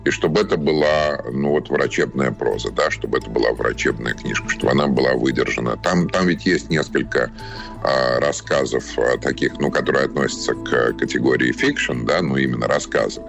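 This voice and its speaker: A man being interviewed as a writer, answering off the cuff in a halting way, searching for words. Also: rumbling low, vocal fry, music in the background. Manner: halting, searching for words, frequent hedging phrases.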